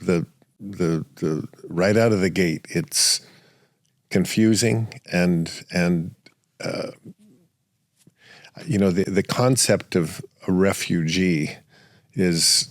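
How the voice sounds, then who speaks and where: Slow deep-voiced male podcast host. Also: no echo, clean studio sound. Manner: slow, searching, repeats words. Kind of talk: conversational interview.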